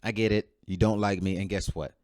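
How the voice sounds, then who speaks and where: A man's voice: on the low side, steady tone, brisk, darkish tone, no room sound, close to an everyday voice. A man voicing a blunt, dry-humored shuttle pilot.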